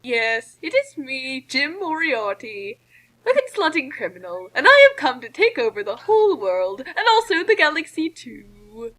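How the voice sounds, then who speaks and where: A woman acts out a gloating male villain, high and bright in voice. He is a grandiose criminal mastermind in a comic story reading, with lively, swooping delivery. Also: a little slow, heavily acted, low-quality recording.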